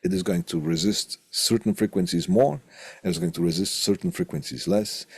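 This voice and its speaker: A man teaching as a college instructor in an informal technical lecture, deliberate, often repeating phrases and posing questions to himself. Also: sits low, lively intonation, vocal fry, breathy edge, dry recording.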